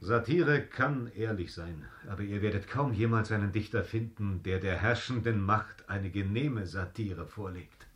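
An actor playing a sober, cautious counsellor in a radio drama, earnest and admonishing. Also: male voice, lowish voice, a little slow, few pauses, lightly acted. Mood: earnest warning. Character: sober, cautious male actor advising his troupe leader.

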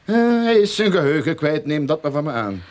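A man playing a glib, money-minded private detective boss in a radio play, smooth-talking and mock-humble. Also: a little high, big pitch swings, fairly quick. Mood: soothing, unctuous.

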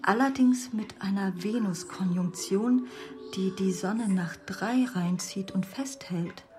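An actress playing an astrologer who earnestly explains a birth chart in a radio play, measured and explanatory. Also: female voice, mid-range voice, natural voice. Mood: earnest, explaining. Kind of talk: monologue in a literary radio play. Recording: music under the voice.

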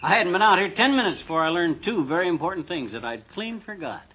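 Excitable self important hubby, old radio comedy, voice all over the place, dull muffled recording. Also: male voice, high-pitched, fast, breathy edge. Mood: proud. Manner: folksy, self-important, blustering. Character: excitable, boastful bumbling husband playing backyard chef. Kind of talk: 1950s radio comedy acting.

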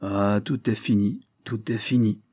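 Heartbroken noble guy, quiet and desperate, low husky voice, man reading. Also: steady tone, a little slow, no echo, clean studio sound. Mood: despairing. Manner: hushed, despairing. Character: anguished, lovesick older brother, a reserved lord.

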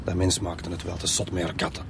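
A man's voice: deep voice, little pitch movement, fairly quick, creaky, noisy background. A man with a Flemish accent playing a defensive divorced man in his fifties in a radio crime drama, hesitant and self-justifying. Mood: polite, grumbling. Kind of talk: naturalistic radio drama dialogue. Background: music.

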